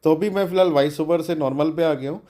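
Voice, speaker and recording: Male DIY tutorial host, voice a bit high, informal and chatty, code-mixing English terms. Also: fast, dark tone. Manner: chatty, colloquial, mixes English terms into speech. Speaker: DIY electronics channel host.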